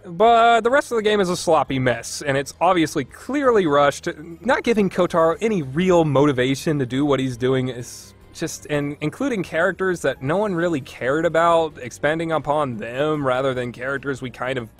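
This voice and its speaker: A man's voice: a little high, expressive, moderate pace, few pauses, soft background music. A man giving a casual, opinionated review as a podcast host, with a sarcastic, exasperated manner.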